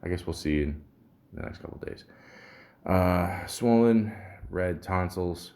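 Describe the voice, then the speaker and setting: A man with a deep, somewhat muffled voice and a sore throat, rambling casually and grumbling with some swearing as a solo podcast host. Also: a little slow, darkish tone. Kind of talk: casual solo podcast rambling, reading symptom lists aloud.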